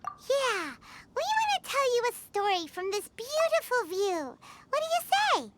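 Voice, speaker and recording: A woman voicing a tiny, bubbly, bossy childlike fairy girl in an anime game, squeaky and excitable. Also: unhurried, very noisy, heavily acted. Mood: eager storytelling. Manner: excitable, exclamatory storytelling. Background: music.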